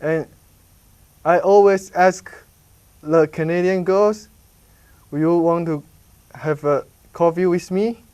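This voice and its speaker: A young man from Taiwan, an English speaker, talking in halting English as a student reporter in a chatty news segment. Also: on the high side, smooth. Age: young adult. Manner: halting non-native English, excitable.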